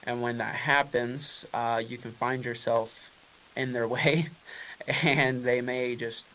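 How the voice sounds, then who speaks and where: Man, race driver, middle pitch, casual, rambling, lots of restarts, faint hiss. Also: fairly even intonation, slow, a bit bright, rough recording. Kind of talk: press conference answers.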